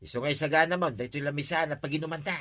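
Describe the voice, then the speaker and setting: A man's voice: a little high, racing, loud music behind the voice, natural voice. A man with an Ilocano accent acting a brash, mischievous village schemer in a comedy drama. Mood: scheming.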